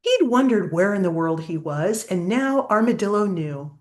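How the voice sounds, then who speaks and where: A woman's voice: mid-range voice, very animated, normal speed, a little mellow. An older woman reading a children's picture book aloud expressively, like a grandmother pointing out pictures to a child.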